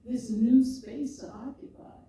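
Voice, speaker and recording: An older woman, a poet and podcaster, telling her story in an expressive, rhythmic way and often laughing, before a live audience. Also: on the high side, a little slow, slight background noise. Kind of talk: reflective conversational storytelling. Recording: echoey hall, mic far off.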